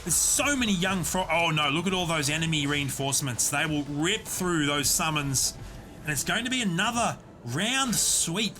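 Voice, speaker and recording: A man casting a live online game tournament, giving steady, explanatory play-by-play. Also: fairly high pitch, moderate pace. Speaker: esports caster and streamer. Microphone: desk USB condenser mic.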